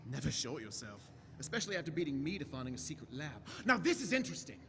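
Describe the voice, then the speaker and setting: A man playing an eccentric, inquisitive time-traveling doctor in a staged audio drama, chatty and brisk with theatrical swings. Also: fairly high pitch, sing-song, fairly bright tone. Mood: brisk, curious. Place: large convention hall stage. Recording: echoey hall stage recording with noise.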